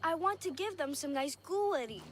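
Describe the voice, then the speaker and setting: Small child, high-pitched, playful, innocent, lonely, video game cutscene, light music. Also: female voice, lively intonation, fairly quick. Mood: earnest, sweet. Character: innocent, lonely little girl, earnest and playful.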